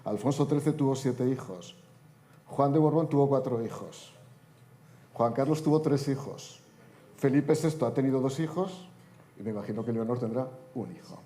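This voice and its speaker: Man with a mellow, wry orator's voice. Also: medium pitch, moderate pace. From Spain. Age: 50s. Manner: wry, joking asides in an oratorical delivery. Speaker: panel speaker, politician. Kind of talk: panel debate talk. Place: conference hall panel.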